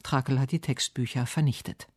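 A man's voice: medium pitch, fairly even intonation, brisk, husky, dry recording, clean studio sound. A man reading a scripted literary radio essay as its narrator. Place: dry radio studio.

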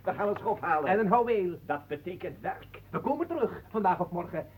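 An actor playing a sly, teasing gentleman showman in a radio drama, theatrical, singsong and mock-courteous. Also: high-pitched, expressive, fairly quick, a little hiss or hum. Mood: boisterous.

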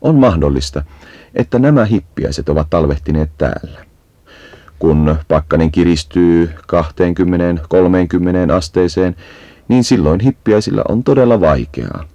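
Man, very deep pitch, soft dark timbre, measured explanatory delivery, scripted nature-guide narration, dry sound. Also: expressive, no room sound, highs cut off.

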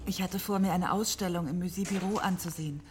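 A woman's voice: on the low side, steady tone, quick pace, a little mellow, a little hiss or hum, lightly acted. An actress playing a poised, teasing woman musician in a radio drama, speaking in a light, composed, natural way.

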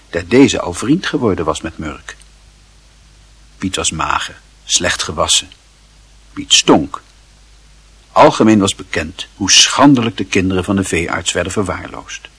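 A man narrating literary fiction as an expressive audiobook storyteller. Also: deep voice, breathy edge, dry recording.

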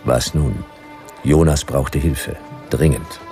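An extremely deep, gravelly noir narrator. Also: male voice, soft background music, heavily acted. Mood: wry, grave. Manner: terse, deadpan, measured. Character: laconic, world-weary noir detective narrator. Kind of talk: scripted radio drama narration.

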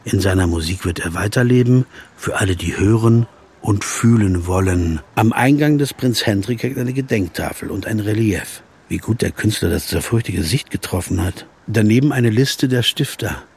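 A man's voice: fairly low pitch, animated, moderate pace, slightly rough, exaggerated voice. A man playing a wry jazz pianist narrator. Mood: musing. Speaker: first-person narrator. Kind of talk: audio drama narration.

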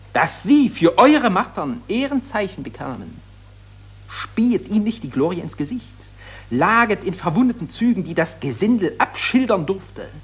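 An impassioned orator in a 1940s radio play, declaiming solemn accusations. His voice is high and rough-edged, with sweeping melodic swings. The rhetoric is grand, addressed to the fallen of war. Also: full character voice. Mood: indignant accusation. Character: impassioned male satirist accusing warmongers and summoning the war dead.

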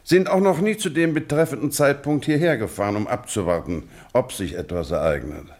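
An actor playing a stern, insistent English police investigator in a German radio drama, questioning in formal, pointedly courteous phrases. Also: male voice, mid-range voice, fairly quick, darkish tone, close to an everyday voice. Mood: politely probing. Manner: formal, pointed questioning with mock-polite address.

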